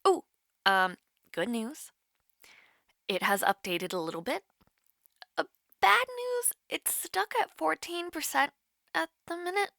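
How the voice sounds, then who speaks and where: A woman's voice: sits high, animated, slow, gravelly, long pauses, bright, forceful tone, no echo, clean studio sound, full character voice. A young woman playing a nervous, rambling college student chatting casually with her online crush in an audio roleplay. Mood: flustered, chatty. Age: young adult. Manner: awkward, rambling, hesitant with many fillers. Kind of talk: naturalistic romantic audio roleplay, casual one-sided call.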